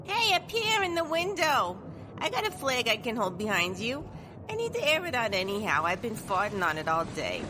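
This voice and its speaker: A brash, crude woman, played by an actress calling down from an upper window in an audio drama. Her voice swoops and jumps. Street and traffic noise runs clearly behind her. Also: on the high side, very animated, normal speed.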